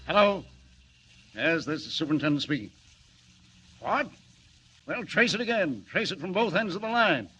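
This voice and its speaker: An actor playing an irritable, harried railroad superintendent with a blustering, abrupt manner, in 1940s radio serial style. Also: male voice, fairly high pitch, big pitch swings, moderate pace, long pauses, pushed and bright, soft background music. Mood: irritated, blustering. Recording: muffled, noisy vintage 1940s radio recording.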